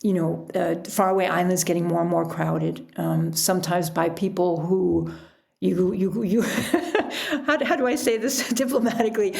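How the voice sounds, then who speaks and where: A woman's voice: fairly low pitch, fairly even intonation, moderate pace, gravelly, a little mellow. A woman talking casually and reflectively as a guest in an interview. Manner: reflective, chuckles often while talking. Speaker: podcast guest, author and sailor.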